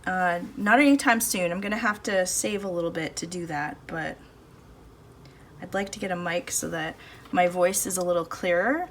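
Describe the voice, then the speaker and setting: A woman's voice: fairly low pitch, moderate pace. A woman hosting a casual painting video, chatting and thinking aloud as she works at her table, recorded on a phone in her home studio. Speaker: art channel host. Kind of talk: casual painting demo chat. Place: home art studio, indoors. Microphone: phone camera above the table, no external mic. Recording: phone audio with faint background noise. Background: faint street and household noise.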